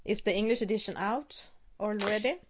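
A woman with a Swedish accent, a native Swedish speaker hosting a casual podcast interview, asking brisk short questions and chatting between them. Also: medium pitch, slight background noise. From Sweden. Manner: brisk one-word questions, then chatty. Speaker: podcast host. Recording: dull lo-fi sound.

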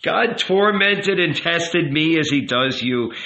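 A man's voice: fairly high pitch, expressive, slow, sharp tone, voice pushed up, exaggerated voice. A man voicing an old rabbi in an audiobook, tender and earnest, coaxing and emphatic as he counsels. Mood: wistful confiding. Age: older. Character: old rabbi uncle, tender, pleading, gently commanding confessor. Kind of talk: dramatic audiobook character reading. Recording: roomy, echoing, slightly dull sound.

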